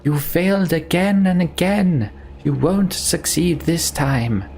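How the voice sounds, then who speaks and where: A man voicing a grave, commanding female mystic from a fantasy game, in slow, dramatic delivery. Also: lowish voice, little pitch movement, a little mellow, slight background noise. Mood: urgent shouting. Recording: game music behind the voice.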